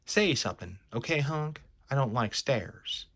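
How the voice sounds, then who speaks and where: A brash lady fortune-teller as a man puts her on from a comic-book reading. She is sassy and curt, tossing out old-fashioned tough slang with lively swoops of pitch at an unhurried pace. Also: dry recording. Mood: sassy, dismissive.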